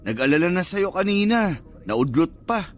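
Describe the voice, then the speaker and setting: An actor playing a nervous, well-meaning young cousin in a radio drama, colloquial and concerned. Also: male voice, high-pitched, sing-song, normal speed. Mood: placating.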